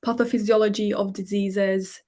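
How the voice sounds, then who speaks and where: A woman's voice: medium pitch, little pitch movement, normal speed, dark tone. A woman in her twenties from Turkey, a medical student explaining her path in a casual interview with many fillers.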